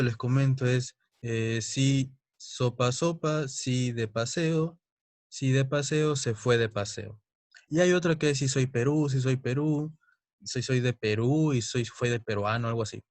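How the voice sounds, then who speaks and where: A man's voice: medium pitch, dry recording. A young man teaching a chemistry lesson, explaining step by step and often checking in with his students. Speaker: chemistry tutor. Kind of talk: recorded online lesson.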